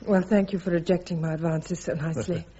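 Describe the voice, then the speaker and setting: An actress playing a wry, self-possessed British army captain with a dry, ironic manner in a radio drama. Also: female voice, fairly low pitch, steady tone, fast talker, mellow, slightly rough. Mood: dry, teasing. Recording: dull lo-fi radio drama recording.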